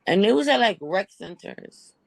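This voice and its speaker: A woman in her forties with a raspy voice, speaking earnestly and a bit apologetically on a video support call. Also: medium pitch, sing-song, normal speed, no room sound. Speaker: support group member. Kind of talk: casual group chat.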